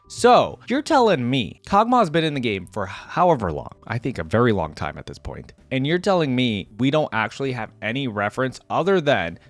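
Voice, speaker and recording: Lively, chatty young man reacting. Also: medium pitch, no room sound. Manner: chatty and musing, breaks off reading to react, chuckles. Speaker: reaction video host. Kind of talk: casual reaction commentary, reading a wiki aloud.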